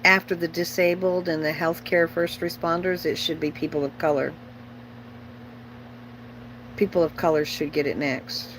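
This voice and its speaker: A woman's voice: low voice, steady tone, moderate pace, long pauses, a bit bright, a little hiss or hum, low-quality recording. A middle-aged woman chatting casually on a livestream as host, rambling and opinionated, bluntly repeating herself for emphasis.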